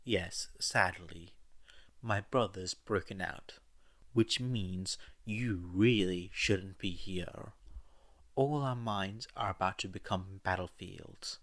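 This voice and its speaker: Man playing a solemn, slow-spoken young mentor. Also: deep voice, a bit bright, full character voice. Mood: grave. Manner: measured, earnest, apologetic.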